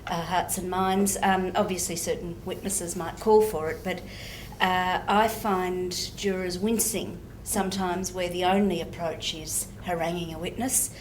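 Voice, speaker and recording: A woman's voice: lowish voice, steady tone, measured pace, hardly any pauses, a little hiss or hum, low-quality recording. A woman barrister sharing her observations thoughtfully in a panel discussion.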